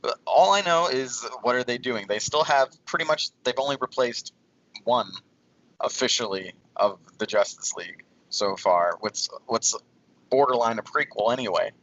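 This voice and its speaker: A man of medium pitch debates casually as a podcast co-host. He pushes back and argues his point in loose, conversational phrases. The recording is slightly dull and lo-fi. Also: moderate pace, a bit bright. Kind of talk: casual movie debate among friends.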